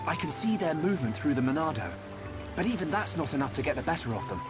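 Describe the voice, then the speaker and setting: Earnest young game hero, kinda high for a man, lively pitch, thoughtful, music under it. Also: quick pace. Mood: serious. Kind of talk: video game cutscene acting.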